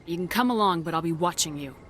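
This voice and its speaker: An actress playing a tough, wary military commander in a video game, terse and commanding. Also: female voice, fairly low pitch, expressive, fast, a bit bright. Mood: wary, firm. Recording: clear ambient noise behind.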